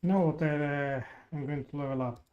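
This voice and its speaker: A man giving casual, halting livestream commentary while he plays a game, murmuring to himself. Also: on the high side, fairly even intonation, narrow, tinny audio. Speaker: live streamer. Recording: clear background noise with clicks.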